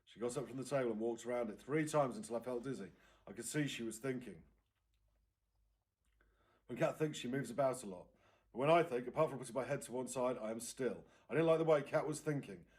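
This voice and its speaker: A British man reads a children's novel aloud in the manner of a primary teacher. His voice sits at a medium pitch. The narration is expressive and clearly performed for young listeners. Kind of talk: expressive storybook reading aloud.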